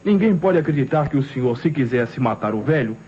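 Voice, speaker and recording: Young Brazilian amateur sleuth played by a man, loyal, insistent, dark-toned voice, muffled vintage radio detective serial. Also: medium pitch, expressive, a little fast, close to an everyday voice. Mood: earnest, reassuring. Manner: earnest, insistent, declamatory. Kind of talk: old Brazilian radio detective drama acting. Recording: muffled, noisy old radio recording.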